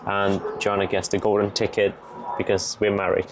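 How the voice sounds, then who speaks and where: A young man with a Bulgarian accent, casually explaining as he cooks outdoors in a garden, relaxed and matter-of-fact. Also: on the low side, normal speed, noisy background. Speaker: vlogger. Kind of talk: casual explaining while cooking.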